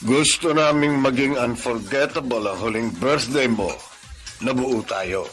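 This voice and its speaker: An actor in a radio drama playing a gentle, sentimental father who gives his daughter advice. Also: medium pitch, a little slow, soft background music. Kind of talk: classic radio drama acting.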